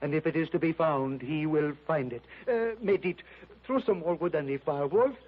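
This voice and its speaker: An actor playing an excitable French lieutenant with a French accent, in 1930s radio drama style. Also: male voice, on the high side, moderate pace, lightly acted. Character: excitable French lieutenant, middle-aged man. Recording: muffled old radio transcription, faint hiss.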